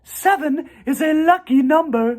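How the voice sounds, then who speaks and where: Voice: sits high, moderate pace, a little mellow, voice pushed up, close to an everyday voice. A performer voicing a refined, clever female spider with precise diction in a storybook reading. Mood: gracious.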